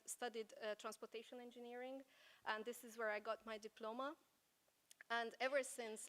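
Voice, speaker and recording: A woman's voice: on the high side, fairly bright tone. A Hungarian-accented woman in her forties giving a keynote talk at a conference, telling her story with rhetorical questions. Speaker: keynote speaker. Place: conference hall.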